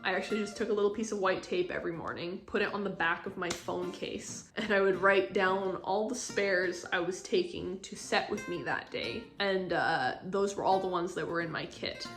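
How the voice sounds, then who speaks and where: A woman's voice: fairly low pitch, normal speed, few pauses, a bit bright, soft background music. Young woman, chatty instructor, roomy sound. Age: young adult. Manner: informal, explanatory, chatty. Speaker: training instructor, assistant director. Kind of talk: casual instructional talk to camera. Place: living room, indoors. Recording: roomy indoor echo.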